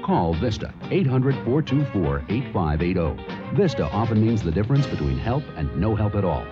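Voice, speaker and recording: A man's voice: fairly low pitch, very animated, normal speed. A man narrating a scripted public service announcement in an earnest style. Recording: dull radio recording over music.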